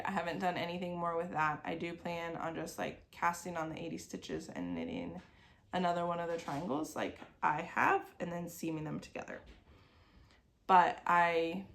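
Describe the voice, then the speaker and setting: A woman in her thirties, sniffly from a cold, hosting a crafting video podcast from her sewing desk at home. She chats casually and meanders, with lots of "like" fillers. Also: lowish voice. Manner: meandering, talks around things with many "like" fillers. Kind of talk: casual chat.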